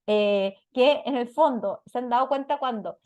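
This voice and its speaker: Woman, Chilean Spanish accent, slightly high pitch, didactic lecture delivery, frequent check-in questions. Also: normal speed, a little mellow. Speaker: lecturer on parenting and psychology. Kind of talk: explanatory talk to an audience.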